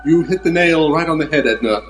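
A man's voice: on the high side, a little fast, faint music behind, close to an everyday voice. A man playing a vain, self-serious movie heartthrob with a secret shame, in theatrical improvised old-time radio drama style. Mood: anguished.

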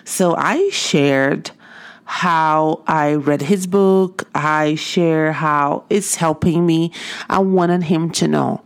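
A woman's voice: low-pitched, fairly even intonation, extremely slow. A woman with a Portuguese accent, whose first language is Portuguese, telling a personal story in an earnest, heartfelt motivational talk as an artist and art teacher.

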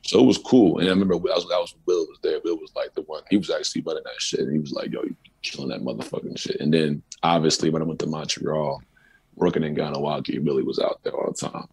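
Deep gravelly man telling stories loosely. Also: fast talker. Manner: loose, profane, animated storytelling. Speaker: musician guest. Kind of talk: casual storytelling interview.

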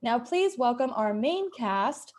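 A woman's voice: fairly high pitch, expressive, slow, a little mellow, slight background noise. A woman speaking casually as the host and director of a podcast show. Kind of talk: casual, informal show hosting.

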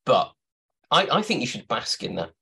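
A man's voice: mid-range voice, big pitch swings, normal speed, vocal fry, pushed and bright, husky. A man hosting a podcast, telling rambling, playful stories in casual chat. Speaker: podcast host.

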